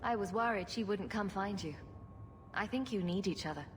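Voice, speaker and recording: A woman's voice: medium pitch, normal speed, big-room sound, slight background noise, lightly acted. An actress playing a wry, sarcastic female rogue adventurer in a fantasy video game, with a dry delivery. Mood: sincere. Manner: dry, flippant.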